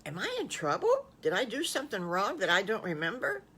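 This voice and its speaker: A woman's voice: mid-range voice, wildly swooping pitch, fairly quick, a bit bright, husky, exaggerated voice. An older woman voicing a six-year-old boy wolf in a bedtime story, wide-eyed and full of questions. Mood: excited.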